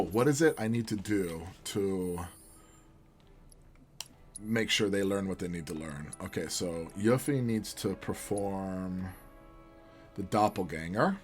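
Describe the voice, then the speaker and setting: A philosophy professor, a man with a booming voice, musing earnestly in a rambling, lecture-like way while playing a video game.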